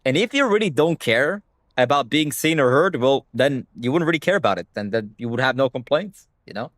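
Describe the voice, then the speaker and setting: A man's voice: medium pitch, expressive, a little fast, fairly bright tone, no echo, studio quality. A young man whose first language is Hebrew, talking bluntly to his stream chat and swearing freely. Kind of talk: casual livestream rant.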